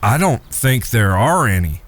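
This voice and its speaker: An actor playing a wary, brooding older cowboy brother, cautious and understated, in a Western audio drama. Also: male voice, mid-range voice, sing-song, a little slow, a bit bright. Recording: noisy ambient background.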